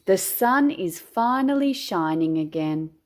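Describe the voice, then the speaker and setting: A woman with an Australian accent reads a children's storybook aloud like a teacher at storytime. Her pace is very slow and deliberate, and her pitch moves up and down in a lively way for young listeners. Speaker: teacher reading picture books aloud.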